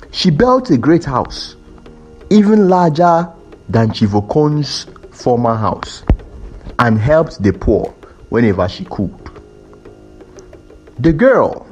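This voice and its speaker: A man narrating a scripted folktale in a measured, deliberate storytelling style with dramatic pauses. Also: a little high, darkish tone. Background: background music.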